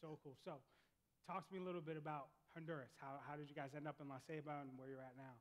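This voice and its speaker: A man's voice: fairly high pitch, fairly even intonation, fast, big-room sound. A man hosting an interview on a church stage, enthusiastic and repeating his words. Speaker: pastor interviewing a guest. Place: church sanctuary.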